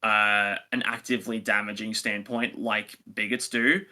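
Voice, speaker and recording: A man's voice: on the low side, little pitch movement, unhurried, pushed and bright. A man co-hosting a review podcast, talking casually and conversationally as he gives his opinions.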